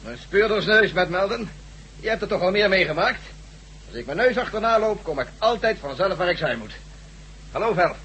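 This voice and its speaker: Jovial military general for radio drama; high-pitched, hearty, pressing delivery, faint hiss on the recording. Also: male voice, animated, moderate pace, fairly bright tone, poor audio. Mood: jovial, brisk. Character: jovial, brisk male army general, old friend.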